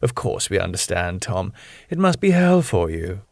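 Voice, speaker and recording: A man's voice: deep voice, big pitch swings, moderate pace, gravelly, darkish tone, no echo, studio quality, voice pushed down, exaggerated voice. Man voicing a theatrical, sardonic sitcom woman. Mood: sardonic, mocking. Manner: theatrical, dramatic delivery. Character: melodramatic, sardonic woman, tango-dancing ballroom co-owner.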